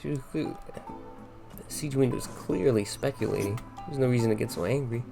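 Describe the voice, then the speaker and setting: A young man giving casual, halting, offhand commentary as he plays a game, often repeating his words. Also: medium pitch, creaky, over music. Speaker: gaming streamer. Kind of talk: casual let's-play commentary.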